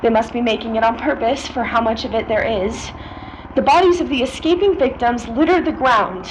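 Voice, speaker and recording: A woman's voice: on the high side, a little fast, close to an everyday voice, poor audio. A woman playing a disgruntled eye-in-the-sky news reporter giving a live report in a radio drama. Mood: grim, reporting. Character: disgruntled female airborne news reporter watching alien invasion. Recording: faint vehicle noise and ambience.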